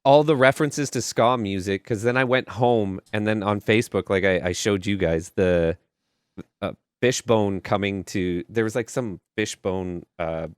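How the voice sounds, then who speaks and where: A man's voice: lowish voice, clean tone, dry recording, studio quality. A man co-hosting a podcast, talking casually about films in an informal, sweary way. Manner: informal, profane. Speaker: podcast co-host. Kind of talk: casual film review chat.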